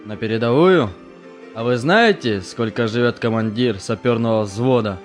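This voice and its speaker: A man playing a gruff, commanding army major who is exasperated but protective, in an amateur audio drama. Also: medium pitch, moderate pace, soft background music, lightly acted. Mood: stern, dissuading. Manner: commanding, stern.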